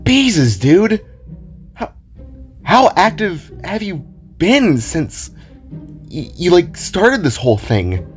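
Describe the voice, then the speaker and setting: Guy doing a bubbly teen girl guitarist for an audio drama, kinda high voice, stammery n flustered, swoops around a lot. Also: very animated, takes it slowly, pauses often, faint music behind, full character voice. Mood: stunned, flustered. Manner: stammering, exclamatory.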